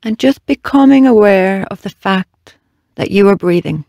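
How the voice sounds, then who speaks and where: A meditation guide leading a body scan in a slow, measured, gently instructing way with long pauses. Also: mid-range voice, animated, creaky, no room sound.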